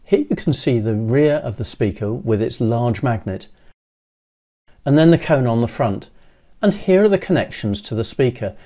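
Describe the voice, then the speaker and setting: An older man in his sixties giving scripted how-to narration in a measured, methodical way, as a presenter. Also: mid-range voice, lively intonation, warm tone. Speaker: presenter of an electronics how-to video.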